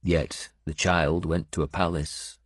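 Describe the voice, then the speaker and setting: A man's voice: deep voice, little pitch movement, unhurried, husky, dry recording, clean studio sound. A male narrator playing a seasoned warlord who speaks in a probing, commanding manner. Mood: gentle. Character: seasoned male warlord, gentle with her, bitter about war.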